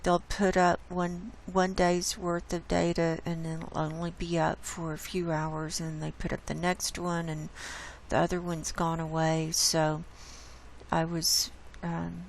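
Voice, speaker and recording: Hoarse, slow-talking woman. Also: on the low side, slight background noise, rough recording. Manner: unhurried, explains data on screen. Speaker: channel host presenting climate data. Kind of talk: casual screen-share presentation.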